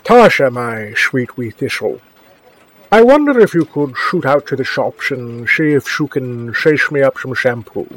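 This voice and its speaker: A man's voice: mid-range voice, lively intonation, unhurried, a bit bright, poor audio. A man playing a flirtatious, courtly Scottish charmer with a Scottish accent in a comedy audio drama. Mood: coaxing. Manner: courtly, wheedling endearments. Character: flirtatious, smooth-talking Scottish man named Mac.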